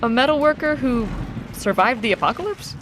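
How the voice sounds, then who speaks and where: An actress playing an anxious, sharp-witted virologist in an audio drama, with a wary, wry, questioning manner. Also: female voice, high voice, expressive, brisk. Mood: incredulous. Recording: noisy outdoor vehicle ambience.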